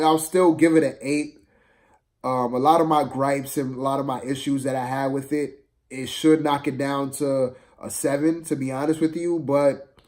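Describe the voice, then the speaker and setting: A man's voice: medium pitch, a little mellow. A man giving a casual, unscripted film review to viewers, rambling and hesitant, often repeating himself.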